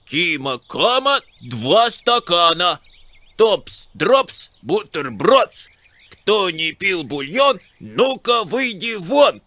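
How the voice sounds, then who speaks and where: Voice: mid-range voice, sing-song, very slow, pushed and bright, no echo, heavily acted. An actor voicing a stubborn, contrary little boy in a children's cartoon. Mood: playful chanting.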